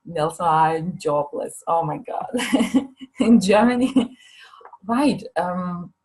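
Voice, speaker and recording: A woman's voice: on the low side, animated, takes it slowly, a little mellow. A woman presenting a teaching course in a live online question session, chatty and hesitant, with many fillers and restarts. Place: Limassol.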